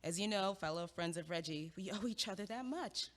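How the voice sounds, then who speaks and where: An actress playing an impatient young female student who talks in a brisk, blunt, dryly logical way in an audio drama. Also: medium pitch, expressive, big-room sound, natural voice.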